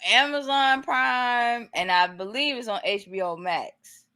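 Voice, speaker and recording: A woman's voice: fairly high pitch, unhurried, sharp tone, clear, resonant tone. A woman in her late twenties co-hosting a podcast, chatting casually with frequent laughs and lots of "like.". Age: 20s. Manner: giggly, lots of "like" fillers. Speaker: podcast co-host. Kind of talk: casual chat.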